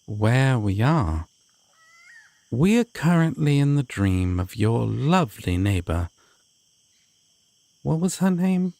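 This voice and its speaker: A man playing a courteous, formal deity of dreams in an audio roleplay, speaking to the listener with measured, theatrical pauses. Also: mid-range voice, sing-song, creaky, dark tone, dry recording, exaggerated voice. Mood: composed, grand. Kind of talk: immersive roleplay addressed to the listener.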